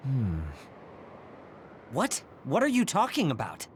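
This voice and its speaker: An actor playing an irritable, scornful young squad member in an English game dub, brash and abrasive. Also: male voice, fairly high pitch, wildly swooping pitch, slow pace, a little hiss or hum, full character voice. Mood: incredulous.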